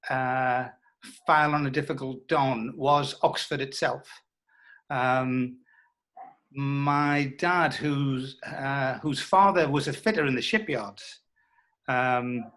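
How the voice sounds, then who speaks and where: A middle-aged man being interviewed as a writer, speaking reflectively and haltingly. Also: medium pitch, fairly bright tone. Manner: thoughtful, halting delivery. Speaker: interviewed writer who adapted his father's stories.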